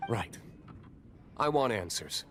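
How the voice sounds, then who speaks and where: A man's voice: on the low side, very animated, slow, music in the background. A man voicing a reserved, suspicious young tavern owner in a video game, terse and dry. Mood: dry, stern.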